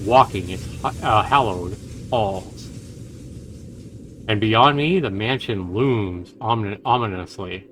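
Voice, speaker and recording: A man livestreaming, reading game text aloud like a dramatic storyteller, with casual asides. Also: on the low side. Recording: clear background noise on stream.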